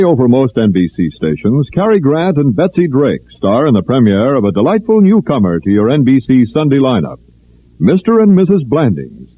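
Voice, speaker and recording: A man reading scripted promotional copy as a polished 1950s network radio announcer. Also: medium pitch. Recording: narrow phone-like vintage radio recording.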